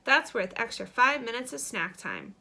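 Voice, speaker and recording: A woman's voice: fairly high pitch, wildly swooping pitch, moderate pace, gravelly, sharp tone, heavily acted. A woman voicing an upbeat, encouraging male schoolteacher in an audiobook, cheerily addressing pupils. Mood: cheerful.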